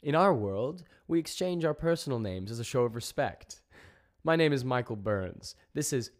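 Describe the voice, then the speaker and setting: A man's voice: fairly low pitch, lively intonation, unhurried, voice pushed up. A man voicing a stern but respectful human ambassador, formal and courteous, in a story.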